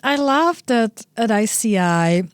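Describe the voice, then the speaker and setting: A middle-aged woman from the Czech Republic, a research guest answering interview questions in a thoughtful, measured way. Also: a little high, expressive. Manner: thoughtful, measured, with frequent "you know" fillers.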